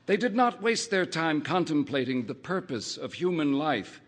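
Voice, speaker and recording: A man reading a first-person literary short story aloud, in a measured, wry narrating manner. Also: fairly high pitch, sing-song. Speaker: audiobook reader.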